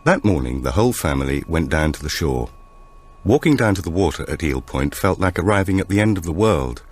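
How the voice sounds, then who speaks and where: A man narrates a thriller audiobook in a very deep voice. His delivery is measured and dramatic, with pitch that moves expressively across the sentences. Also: very animated, breathy edge.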